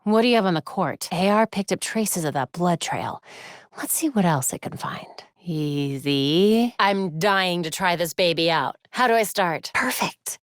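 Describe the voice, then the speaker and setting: A woman's voice: on the low side, lively intonation, unhurried, no room sound, studio quality. A woman playing a young masked vigilante in a video game, tech-savvy and determined, with a wry, quippy delivery. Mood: hushed.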